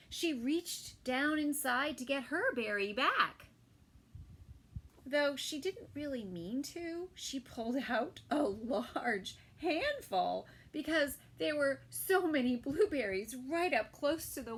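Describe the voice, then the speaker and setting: A woman teacher reading a picture book aloud to small children, gentle and unhurried, with soft whispered asides. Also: sits high, a little hiss or hum. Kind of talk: children's story time read-aloud.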